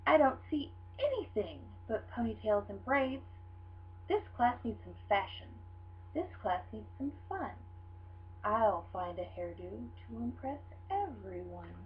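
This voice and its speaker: A woman's voice: on the high side, very animated, unhurried. A woman voicing a theatrical, pleading, fashion-obsessed little schoolgirl in a storybook read-aloud. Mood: exasperated, determined. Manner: theatrical, whiny, emphatic. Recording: dull lo-fi sound with hum.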